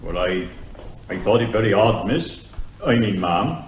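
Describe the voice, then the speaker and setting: Man as low-voiced, deferential police constable. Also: lively intonation, measured pace, a little hiss or hum. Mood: flustered, earnest. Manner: deferential, plain-spoken, self-correcting. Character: deferential, plain-spoken, slightly flustered male police constable. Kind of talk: courtroom radio comedy drama. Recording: echoing room.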